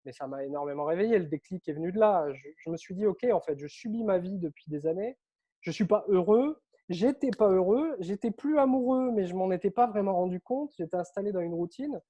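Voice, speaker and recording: A man who grew up on Réunion Island, telling his story as an interview guest in a lively, chatty way with frequent fillers. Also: sits high, brisk, breathy edge, dull audio. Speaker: interview guest, author and coach.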